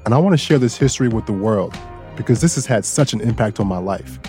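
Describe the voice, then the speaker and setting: A man hosting a news podcast, narrating a personal story in a relaxed, lightly joking way. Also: fairly low pitch, fast talker, soft background music. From Bangkok, Thailand. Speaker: guest host and audio engineer.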